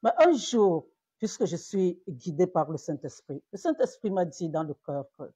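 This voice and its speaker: A woman's voice: fairly low pitch, big pitch swings, normal speed, warm tone, breathy edge, no echo. A woman pastor from Cameroon preaching in a live-streamed sermon, repeating words and rising to emphatic peaks.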